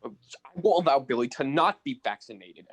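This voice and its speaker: A man's voice: animated, fairly quick, slightly rough. A man playing a weary, earnest, exasperated father in a scripted radio play. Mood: pleading, exasperated. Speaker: actor in a college radio play. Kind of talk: scripted radio drama acting. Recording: faint low rumbling noise.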